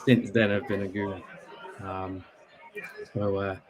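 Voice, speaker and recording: A man's voice: deep voice, flat delivery, measured pace, a crowd in the background. Male football fan chatting casually. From Bangladesh. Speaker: football fan calling in to a podcast. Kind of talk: casual sports chat.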